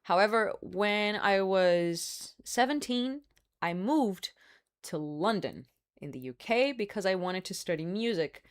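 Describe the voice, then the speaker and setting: A woman's voice: medium pitch, lively intonation, measured pace. A woman from Brazil, hosting a live stream in casual, candid chat, smoking a cigar as she talks and hedging with fillers. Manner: chatty and candid, hedging with fillers, laughs often; smoking a cigar while talking. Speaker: livestream host.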